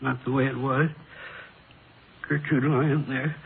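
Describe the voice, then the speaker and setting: An actor playing a dazed, grieving elderly widower in a halting, plaintive way, in an old radio drama. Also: male voice, mid-range voice, little pitch movement, gravelly. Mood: weary, subdued. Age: older. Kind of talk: 1940s-50s radio drama acting. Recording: noisy, phone-like old radio recording.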